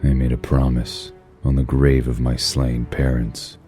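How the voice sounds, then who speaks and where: Male actor as grim comic-book crimefighter, rock-bottom pitch, clipped and solemn, light score behind. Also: monotone, a little slow, warm tone, faint music behind. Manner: terse, solemn, menacing. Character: grim brooding vigilante in a mask, adult man. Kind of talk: comic-book audio drama acting and narration.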